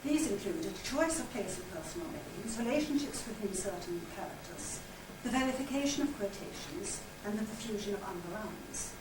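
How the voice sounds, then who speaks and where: A woman's voice: medium pitch, animated, moderate pace, few pauses. An older woman giving a scripted literary presentation, reading out narration, letters and diary extracts. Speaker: presenter and reader at a literary society conference. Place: conference hall. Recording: echoey room, distant mic, loud noise.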